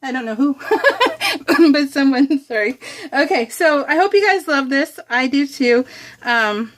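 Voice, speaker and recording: A woman's voice: sits high, lively intonation, measured pace. An American woman chatting casually to viewers while crafting, in a bubbly, giggly, rambling, gushing way. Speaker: craft vlogger hosting a scrapbook process video.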